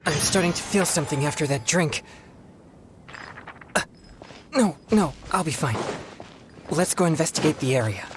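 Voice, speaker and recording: A woman playing a composed, earnest young spirit investigator in a video game, measured, stoic and reassuring. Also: deep voice, lively intonation, noisy background. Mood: calm, reassuring.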